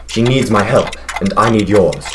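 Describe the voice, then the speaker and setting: A man's voice: on the low side, lively intonation, normal speed, close to an everyday voice. Man playing an intense villain, clocks ticking. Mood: desperate. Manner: intense, demanding. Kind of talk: audio storybook drama. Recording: clear background noise, ticking clocks.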